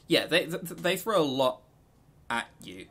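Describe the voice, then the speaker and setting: A man co-hosting a podcast in casual chat, talking informally and haltingly with repeated words. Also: on the high side, sing-song, a bit bright, breathy edge. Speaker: podcast co-host.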